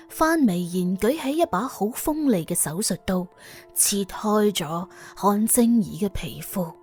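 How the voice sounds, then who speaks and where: A woman's voice: mid-range voice, darkish tone. A woman with a Cantonese accent reading a scripted suspense audiobook as its narrator.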